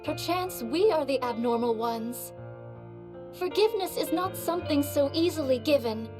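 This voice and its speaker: A woman's voice: sits high, animated, moderate pace, music in the background. A voice actress playing a noble female warrior who talks in an old-fashioned, courtly way. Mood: reflective.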